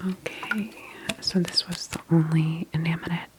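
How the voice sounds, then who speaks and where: A woman whispering softly and breathily in an ASMR roleplay, playing a friendly, reassuring medical examiner with a gentle, unhurried manner. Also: low-pitched, steady tone, background noise. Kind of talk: ASMR personal-attention roleplay.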